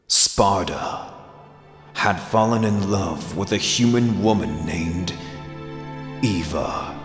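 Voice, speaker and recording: A man playing a solemn, grandiose epic narrator in an animated fantasy parody. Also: on the low side. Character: put-upon agent moonlighting as deep, solemn epic narrator. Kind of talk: scripted dramatic narration in an anime parody. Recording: roomy long reverb, loud music behind. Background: loud music.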